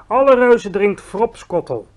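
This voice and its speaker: A big, friendly, eccentric giant, put on by a male storyteller, who bellows his words and stretches them out slowly. He sounds high and lively for a man, exclaiming with muddled grammar as he explains his strange world. A read-aloud storybook voice. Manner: mangled grammar, loud exclamations, drawn-out words.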